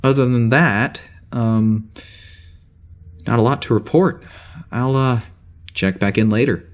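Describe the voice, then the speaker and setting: A man playing a flustered security officer on a spaceship, recording an informal audio log in a halting, rambling way for an audio drama. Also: medium pitch, lightly acted. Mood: casual, uncertain. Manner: halting, rambling, full of hesitations and sighs.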